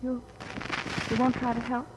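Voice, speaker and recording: An American actress playing an earnest, frightened young murder suspect in an old-time radio drama, halting and pleading, on a noisy vintage recording. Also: female voice, a little high, monotone, natural voice. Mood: anxious, earnest. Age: young adult. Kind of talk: 1940s-50s American radio drama acting.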